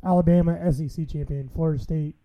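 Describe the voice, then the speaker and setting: A man's voice: a little high, steady tone, fast talker, mellow. A man co-hosting a casual sports talk show, explaining his points in a matter-of-fact way.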